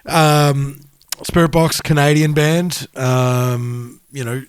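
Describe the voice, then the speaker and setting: A man's voice: fairly high pitch, steady tone, slow pace, smooth, no echo. An Australian man co-hosting a music podcast, chatting casually in a laid-back, matey way. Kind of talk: casual chat.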